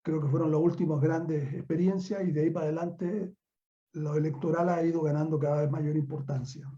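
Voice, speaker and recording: An older Chilean man gives an improvised academic talk in a high, soft-edged voice. He hammers points home by repeating words and phrases. The sound is dull and lo-fi, as on an online call. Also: fairly even intonation, brisk. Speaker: university professor, guest speaker. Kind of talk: extemporaneous online academic lecture. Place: remote online conference call.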